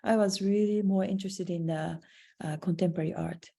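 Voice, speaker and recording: A Japanese-born woman with a Japanese accent, an artist giving a halting online slideshow presentation over a video call. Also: on the low side, fairly even intonation, vocal fry, dark tone, slightly rough. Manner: halting, searching for words. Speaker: artist presenting her work.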